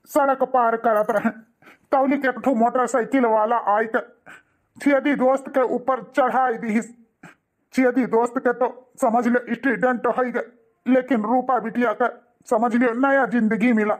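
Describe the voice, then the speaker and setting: A man's voice: extremely high pitch, level tone, a little slow. A man voicing a loyal, earnest village man in a cartoon, with an Awadhi accent and an emphatic, declaiming delivery. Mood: grave.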